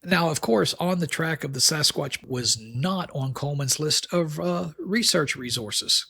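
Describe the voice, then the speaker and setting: A man hosting a podcast, delivering semi-scripted commentary in a folksy, wry manner with deliberate phrasing. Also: on the high side, creaky, bright, forceful tone, a little hiss or hum. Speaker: podcast host.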